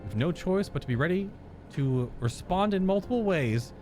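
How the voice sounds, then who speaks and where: Man voicing dutiful fantasy game character, earnest, formal dialogue reading, slightly high pitch, faint music. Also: animated, darkish tone. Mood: earnest, resolute. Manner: formal, measured wording.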